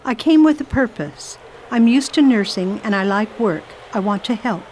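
A woman's voice: fairly high pitch, animated, normal speed, darkish tone, slight background noise, natural voice, low-quality recording. A woman playing a determined lady volunteer nurse, speaking firmly and properly in a radio drama. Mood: resolute. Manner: firm, commanding, proper. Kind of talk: amateur radio drama acting.

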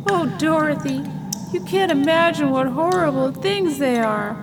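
Woman as a high-voiced, slow-talking kitten. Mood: horrified disdain. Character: female talking kitten, haughty and sharp-eyed. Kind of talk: radio play acting. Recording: loud background noise.

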